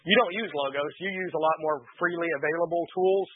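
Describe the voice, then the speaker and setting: A man hosting an online talk radio show, congested from allergies and a little raspy, explaining things in a relaxed, rambling way. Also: on the high side, a little fast, no room sound. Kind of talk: casual talk radio chat. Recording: dry, tinny phone-like line.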